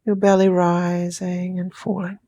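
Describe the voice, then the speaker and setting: A woman with a New Zealand accent guiding a sleep hypnosis session, speaking in slow, soothing, drawn-out phrases that sometimes drop to a whisper. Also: lowish voice, little pitch movement, warm tone.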